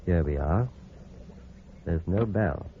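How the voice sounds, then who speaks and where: An actor playing a grave, considerate doctor in an old-time radio drama, speaking in a measured, explanatory way. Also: male voice, sits low, animated, full character voice. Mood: calm, matter-of-fact. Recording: muffled, hissy old radio recording.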